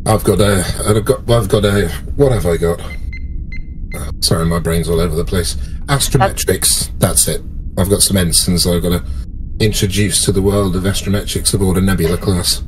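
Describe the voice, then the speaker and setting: A man's voice: deep voice, fairly even intonation, moderate pace, slight background noise, close to an everyday voice, rough recording. A man playing an easygoing, slightly scatterbrained science officer in a tabletop game, talking in a dry, casual way. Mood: wry, distracted.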